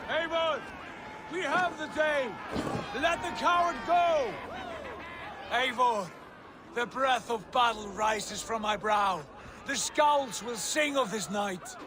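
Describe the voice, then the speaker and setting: An actor playing a bold, boastful Viking lord in a video game, with a grandiose, commanding delivery. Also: male voice, extremely high pitch, slow, noisy background. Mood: triumphant. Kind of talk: dramatic video game acting.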